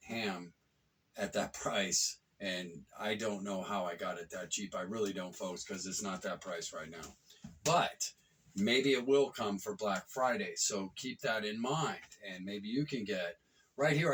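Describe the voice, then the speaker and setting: Male vlog reviewer, lowish voice, chatty and repetitive with keen emphasis, recorded slightly off-mic. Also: fairly bright tone, far from the mic. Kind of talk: casual product review vlog.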